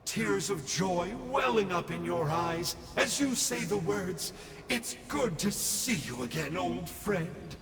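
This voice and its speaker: An actor playing a smug, theatrical villain scholar in a video game, with a teasing, mock-dramatic drawl. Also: male voice, sits high, expressive, takes it slowly, gravelly, echoey room, long reverb tail, music in the background, full character voice. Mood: mock wistful.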